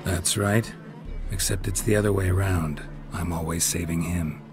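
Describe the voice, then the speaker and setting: Deep, gravelly, deadpan monster hunter. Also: male voice, normal speed, music in the background. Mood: wry, deadpan. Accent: American. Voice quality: low gravelly murmur. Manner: terse, deadpan. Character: stoic male monster hunter, weary, dryly sardonic. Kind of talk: video game voice acting.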